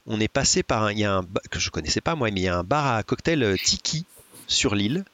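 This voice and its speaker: A man telling stories in a casual chat, hesitant, with many pauses and restarts. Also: on the low side, animated, a bit bright. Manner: hesitant, many filler sounds and restarts, storytelling. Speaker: podcast guest.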